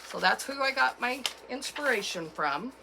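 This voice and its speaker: A woman with a cold and a froggy, hoarse voice, chatting casually as she walks through a craft tutorial at home. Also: on the high side, expressive, noisy background. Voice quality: froggy, gravelly. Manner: chatty, unhurried, with fillers and self-corrections. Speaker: craft channel host.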